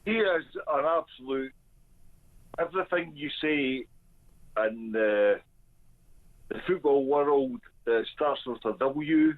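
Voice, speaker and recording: A Scottish man calls in over a tinny phone line. He talks slowly in a blunt, halting, emphatic way, and his pitch sits in a middling range for a man. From Scotland. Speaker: caller. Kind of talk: phone-in call.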